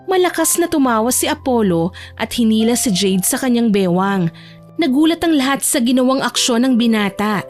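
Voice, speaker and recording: A woman with a fairly high voice narrates a scripted romance story, audiobook style. Soft music runs behind her narration. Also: lively intonation, normal speed.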